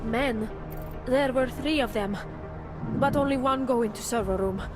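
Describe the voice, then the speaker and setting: An actress playing a nervous, guilt-ridden surveillance worker in a video game, with a Czech accent and halting broken English. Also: female voice, on the high side, natural voice. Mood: anxious, defensive. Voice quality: broken English with dropped articles. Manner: halting, jumpy, repeating herself. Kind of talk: video game cutscene acting. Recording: music playing behind the voice.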